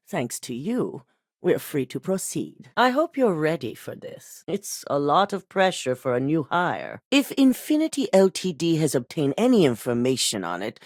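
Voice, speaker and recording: A ruthless corporate executive for a video game, cool and controlled. Her voice sits low yet moves with lively rises and falls, delivered crisply in a dry studio sound. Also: no room sound. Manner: measured, clipped and coldly authoritative. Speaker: company boss giving missions.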